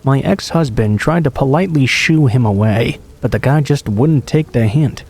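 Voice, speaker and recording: A man reading true scary stories aloud as a scripted narration, in a steady, low-key delivery. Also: normal speed, husky. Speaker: horror story narrator.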